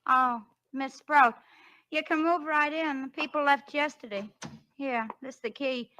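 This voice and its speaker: Older rooming-house landlady, high sharp voice, folksy and complaining, vintage radio drama. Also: a little slow, rough recording. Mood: fussy, complaining. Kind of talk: 1940s radio character acting.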